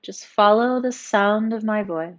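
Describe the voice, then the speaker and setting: A woman yoga instructor narrating a guided meditation in a soothing, gently encouraging, instructional manner. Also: medium pitch, a little slow, lo-fi sound.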